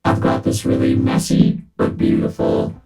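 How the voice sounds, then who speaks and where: A man's voice: moderate pace, loud music behind the voice, rough recording. A man speaking through a vocoder as a friendly synthesizer robot, with a synthetic, chord-toned voice in a casual demo. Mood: admiring, demonstrative. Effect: vocoder robot filter.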